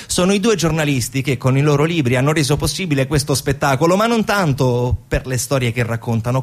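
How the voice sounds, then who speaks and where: A man delivering a theatrical narrative monologue as a stage narrator, with deliberate, rhetorical phrasing. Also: medium pitch.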